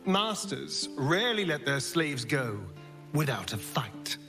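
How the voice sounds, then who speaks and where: A man playing a sardonic, elegant rogue in a video game, with arch, drawn-out, dramatic delivery. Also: a little high, very animated, creaky, over music, exaggerated voice. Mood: knowing, wary. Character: sardonic, elegant male rogue, languid and knowing. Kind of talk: cinematic video game acting.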